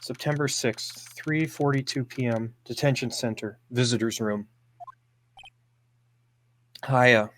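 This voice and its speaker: A man's voice: mid-range voice, slow pace, long pauses, fairly bright tone, slight background noise. A man playing a young defense lawyer, earnest, unsure and sympathetic, in an amateur game voice-over. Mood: hesitant.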